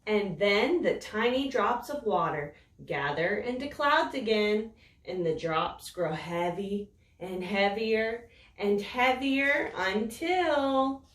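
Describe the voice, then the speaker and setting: Slow, lively storytime woman, roomy echo. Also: mid-range voice, recorded from a distance. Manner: slow, expressive, sing-song storytelling. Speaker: children's storytime reader. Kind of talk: reading a picture book aloud to children. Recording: roomy echo, voice set back from the mic.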